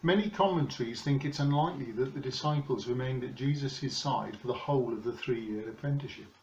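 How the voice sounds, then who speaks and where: An older British man preaches in a calm, measured, earnest way, seated at home in front of a camera. His voice has a middle pitch, the room sounds hollow and echoey, and the recording is a little dull. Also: a little hiss or hum. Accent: British English. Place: living room, indoors. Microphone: camera in front of him, seated.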